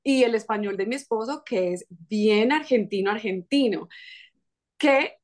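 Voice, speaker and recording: A woman in her thirties from Colombia with a Colombian Spanish accent, a podcast guest telling stories in lively casual chat with quick restarts. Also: medium pitch. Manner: lively storytelling with frequent restarts and laughs.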